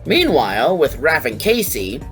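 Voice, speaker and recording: A woman's voice: low voice, very animated, measured pace. A woman narrating a scripted fan fiction story as its storyteller. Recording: faint music behind the voice.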